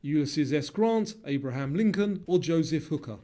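A man delivering scripted quiz narration in a measured, formal reading manner. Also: on the high side, expressive, dark tone. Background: music.

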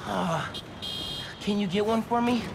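A man's voice: high voice, a little slow, gravelly, heavy background noise. A young man playing an earnest, friendly teenage student superhero with an American accent in a video game. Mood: hurried. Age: young adult. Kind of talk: cinematic video game voice acting. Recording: game music loudly behind.

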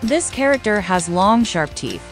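Lively woman narrating a quiz. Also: mid-range voice, measured pace. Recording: voice-over under loud music. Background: loud music.

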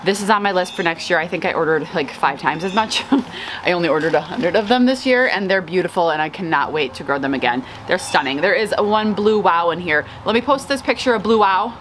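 A woman in her thirties chatting casually to camera as a vlog host, with an expressive, gushing and playful manner. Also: on the low side, a little fast, a little hiss or hum. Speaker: flower farmer and vlog host. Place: outdoors on a covered house porch.

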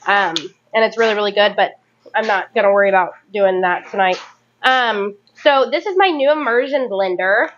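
A woman's voice: a little high, dull audio, a little hiss or hum. Chatty woman cooking in her kitchen. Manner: chatty, rambling, self-correcting asides. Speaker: home cooking vlogger. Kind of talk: casual chat while cooking. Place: home kitchen, indoors.

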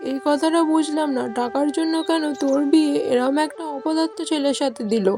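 A woman's voice: sits high, fairly even intonation, fairly quick, over music, natural voice. A woman voicing a blunt, prying mother-in-law in a cartoon moral story.

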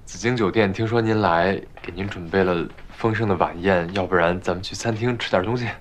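An actor playing a polite young assistant who dodges questions in a slightly nervous, evasive way. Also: male voice, deep voice, brisk, close to an everyday voice.

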